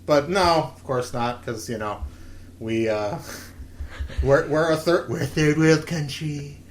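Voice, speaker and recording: A man's voice: a little high, slow pace, slight background noise. A man in his late twenties chatting casually as a podcast co-host, opinionated and given to swearing. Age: late 20s. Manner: opinionated, profane, animated when worked up. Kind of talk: casual chat.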